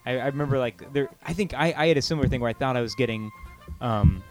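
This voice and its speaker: A man in casual conversation, halting and stammering as he interrupts himself, with an excitable manner. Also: medium pitch, faint music behind. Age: 20s. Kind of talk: casual chat.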